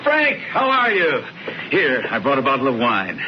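An actor playing a confident, unflappable, scheming brother in a 1970s radio drama, talking in an assured, coaxing way. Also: male voice, wildly swooping pitch, moderate pace. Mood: upbeat, reassuring. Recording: dull radio broadcast, faint rumbling noise.

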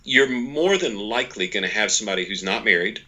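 A man in his forties with a fairly low, bright and forceful voice. He talks as an interview guest, explaining his points in a folksy, emphatic way and slipping into little quoted asides. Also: expressive, fairly quick. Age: 40s. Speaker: podcast guest, author and organization leader. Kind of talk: conversational interview, explaining workplace ideas.

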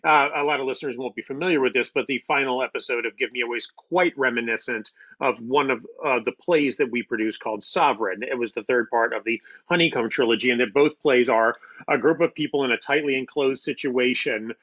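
A man's voice: mid-range voice, a little fast, a bit bright, dull audio. A man explaining his craft conversationally, as a writer being interviewed. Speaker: playwright and audio drama writer.

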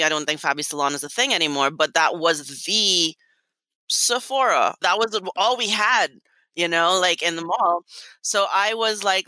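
A woman from Trinidad with a Trinidadian accent, a beauty blogger guest who tells her story in an animated way and laughs often. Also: low voice, no echo, poor audio. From Trinidad and Tobago. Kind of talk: interview answers.

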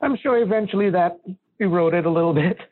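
Higher-voiced male interviewer on phone-like line. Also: normal speed, no echo. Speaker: podcast host, interviewer. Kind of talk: conversational interviewing.

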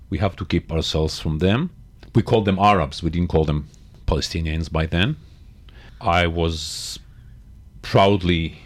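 Deep-voiced Israeli man. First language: Hebrew. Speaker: panel guest. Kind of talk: personal recollection in conversation.

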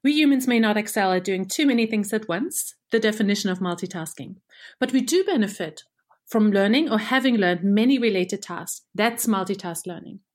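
A woman's voice: mid-range voice, animated, brisk, darkish tone. German-native woman explaining machine learning. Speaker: research engineer. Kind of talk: scripted explanation.

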